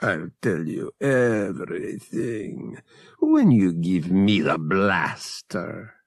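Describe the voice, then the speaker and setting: A man's voice: low-pitched, big pitch swings, very slow, slightly rough, dry recording, studio quality, full character voice. A man voicing a sly, menacing older captain in an audiobook, with a folksy, wheedling drawl that coaxes and taunts. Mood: coaxing, soothing.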